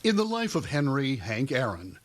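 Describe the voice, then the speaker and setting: A man's voice: medium pitch, huge pitch swings, moderate pace. A man narrating a scripted television news report.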